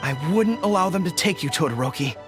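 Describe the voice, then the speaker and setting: An actor playing an earnest, honourable general in a video game, speaking formally and sincerely. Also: male voice, high voice, lively intonation, fast, over music. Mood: troubled. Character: earnest, honourable young male army general.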